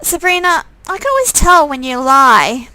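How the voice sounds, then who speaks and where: A young visual novel heroine, voiced by a woman, frank and questioning with a suspicious streak. The voice is bright and fairly high, recorded very dry. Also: big pitch swings, moderate pace, dry recording, heavily acted, low-quality recording. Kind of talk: acted visual novel dialogue.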